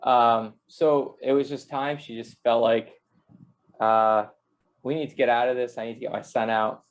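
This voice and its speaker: A man's voice: medium pitch, steady tone, moderate pace. A man from Canada and the United States, a singer giving a casual personal testimony as an interview guest.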